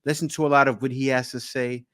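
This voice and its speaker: A man hosts a reaction channel and talks straight to his viewers in a relaxed, casual way. His voice sits at an ordinary middle pitch. The sound is dry and close, with no room echo. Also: quick pace, clear, resonant tone. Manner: relaxed, direct talk to viewers.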